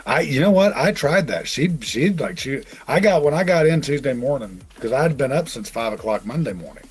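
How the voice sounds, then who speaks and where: A man's voice: medium pitch, animated, normal speed, slightly rough, faint music behind. A man in his forties hosting a live trading stream, chatting casually and telling rambling, joking stories.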